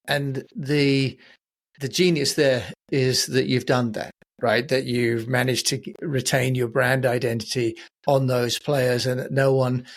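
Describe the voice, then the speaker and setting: A man hosting a podcast, talking conversationally with a guest. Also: mid-range voice. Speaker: podcast host. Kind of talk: conversational interviewing.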